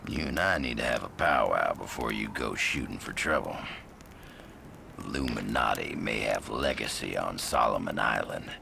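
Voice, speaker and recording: An actor playing a laconic cowboy troubleshooter in a video game, with a gravelly voice, an American Southern accent and a folksy drawl. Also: male voice, very deep voice, expressive, moderate pace, pushed and bright, slight background noise, heavily acted. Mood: grave, foreboding. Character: laconic cowboy troubleshooter, last of his kind, grimly welcoming. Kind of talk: video game character monologue.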